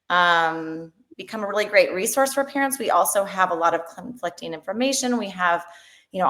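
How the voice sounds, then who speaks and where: A woman's voice: on the low side, a bit bright. A woman in her forties, a dietitian and founder, speaking earnestly on a webinar panel. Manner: earnest, enthusiastic, with fillers. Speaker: registered dietitian, company founder.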